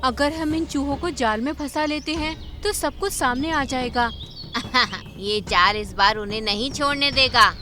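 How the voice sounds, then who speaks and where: A woman's voice: high voice, a little slow, sharp tone. A woman voicing a brave, clever bird in a cartoon, firm, earnest and commanding. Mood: scheming.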